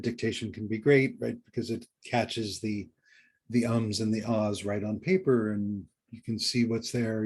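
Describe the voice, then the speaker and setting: Male podcast co-host, medium pitch, casual coaching talk, halting phrasing that often circles back. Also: darkish tone.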